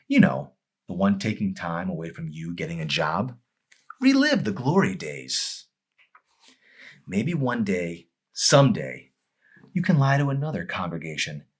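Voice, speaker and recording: A man's voice: sits low, breathy edge, exaggerated voice. A man voicing a bitter, fed-up wife in an audiobook, cutting, sarcastic and emphatic. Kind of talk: dramatic audiobook character acting.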